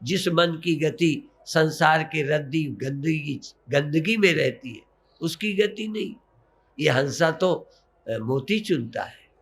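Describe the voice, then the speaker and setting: A man leading a guided breathing meditation as a spiritual teacher, coaxing gently in short, repeated phrases. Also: on the high side, slow, a little hiss or hum.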